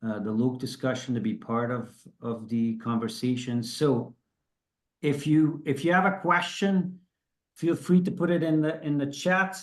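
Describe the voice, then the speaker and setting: A man's voice: medium pitch, steady tone, a little slow. A man from Belgium hosting an online industry discussion, chatty and self-deprecating, often restarting his sentences. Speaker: host.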